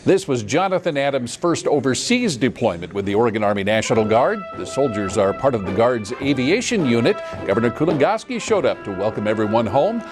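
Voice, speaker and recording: American male news anchor, lively intonation. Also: medium pitch, fairly quick, few pauses, music in the background. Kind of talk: scripted TV news delivery.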